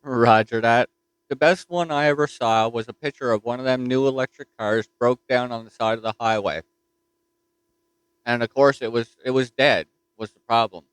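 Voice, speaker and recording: A man's voice: mid-range voice, clean tone, no echo, poor audio. A man with a Newfoundland accent chatting casually on a ham radio repeater from his home desk mic, folksy and full of fillers. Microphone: desktop mic.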